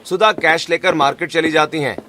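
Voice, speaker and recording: Sex: man. Slightly high-voiced story narrator. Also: fairly even intonation, a little fast, sharp tone, soft background music. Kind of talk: scripted cartoon story narration.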